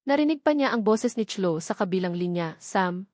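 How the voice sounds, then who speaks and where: A woman's voice: medium pitch, animated, fairly quick, darkish tone, no echo, clean studio sound. A woman reading a scripted, dramatic story as an audiobook narrator.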